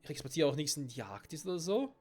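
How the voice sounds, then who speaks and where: A man's voice: on the high side, very animated, quick pace, mellow. A man with a cold giving casual gameplay commentary, swearing and thinking aloud to himself. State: stuffy-nosed with a cold. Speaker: let's play gamer. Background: faint game music.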